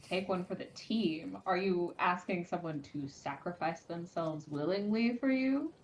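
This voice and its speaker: A woman's voice: on the low side, measured pace, poor audio. A woman voicing an aloof, businesslike adventurer with a firm, formal manner in tabletop roleplay. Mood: indignant, firm.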